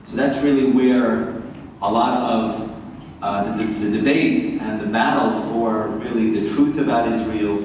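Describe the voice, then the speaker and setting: A man with a Queens, New York accent introducing speakers at a community event as a rabbi, in somewhat halting, repetitive phrasing. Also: mid-range voice, a little mellow, lo-fi sound. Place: large reverberant room, community event. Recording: very echoey hall, distant mic, faint noise.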